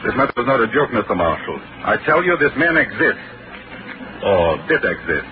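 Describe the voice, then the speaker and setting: An actor playing a calm doctor who reasons out a crime with formal, precise diction. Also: male voice, lowish voice, normal speed. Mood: explaining. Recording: tinny old radio broadcast with hiss.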